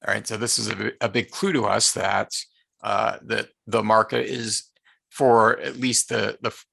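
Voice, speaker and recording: A man with a hoarse, tired voice presenting a live online market-analysis session, speaking haltingly with many filler sounds and restarts. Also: lowish voice, little pitch movement, sharp tone, no echo. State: hoarse, losing his voice.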